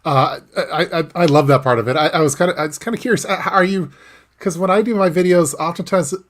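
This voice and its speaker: A man hosting a casual live interview, talking in a halting way with lots of restarts and small laughs. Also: a little high. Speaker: host.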